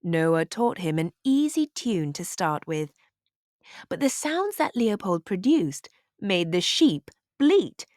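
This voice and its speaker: Female read-aloud narrator for kids' storybook, animated intonation, deliberate slow pacing. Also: mid-range voice, no echo, clean studio sound.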